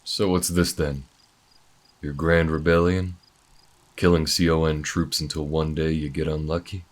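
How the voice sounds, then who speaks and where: Man playing very deep-voiced, cool bounty hunter. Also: flat delivery. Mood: dry, skeptical. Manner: cool, measured, dry. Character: calm, skeptical armed human bounty hunter, young. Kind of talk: audio roleplay drama acting.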